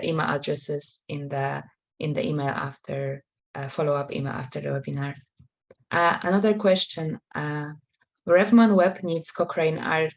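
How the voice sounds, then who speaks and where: A young woman moderating a webinar on a video call, relaying audience questions. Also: low voice.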